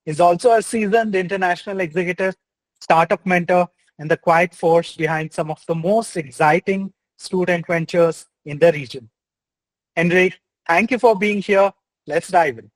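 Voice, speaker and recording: A man's voice: sits high, moderate pace, no room sound, low-quality recording. A young man hosting an interview, reading an enthusiastic intro and asking questions in an upbeat way. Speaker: host.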